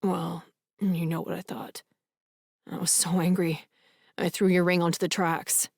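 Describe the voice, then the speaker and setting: A woman reading a tender young man who keeps his voice low and hushed, dropping nearly to a whisper. The sound is close and dry, with no room around it. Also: flat delivery, unhurried, vocal fry, slightly rough, no echo, heavily acted. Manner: soft, hushed, sometimes whispering.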